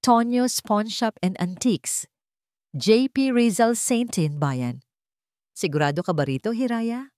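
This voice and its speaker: A woman's voice: on the high side, expressive, unhurried, darkish tone, no room sound, clean studio sound, pitched above the performer's own voice. Woman voicing a firm, serious male doctor. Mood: serious, checking. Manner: firm, direct. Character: serious, commanding male doctor, guarded and direct.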